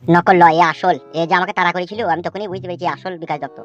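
A woman's voice: on the low side, fairly even intonation, very fast, dull audio, faint music behind, natural voice. A woman voicing a worried, blunt young village man in a comedy cartoon, speaking in slang. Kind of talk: Bangla comedy cartoon dialogue.

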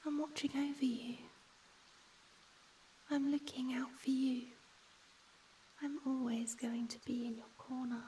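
A woman voicing a shy, gentle young ghost in a soft, breathy whisper for an ASMR comfort roleplay. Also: sits high, distant mic, a little hiss or hum, lightly acted, rough recording. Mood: gentle, wistful. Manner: slow, tender, hesitant.

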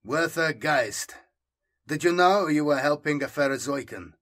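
Guy doing an honest careful official in an audiobook, keeps it calm, bit suspicious, probing. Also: a little high, unhurried, pitched above the performer's own voice. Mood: restrained. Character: earnest, guarded, principled male official, quietly suspicious.

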